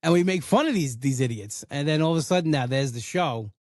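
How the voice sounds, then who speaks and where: A man's voice: a little high, expressive, a little fast, a little mellow, no echo, clean studio sound. A man in his forties who grew up in an Italian household, hosting a podcast in casual, rambling chat. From Italian-American household. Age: 40s. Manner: rambling, full of "like" and restarts. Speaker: podcast host.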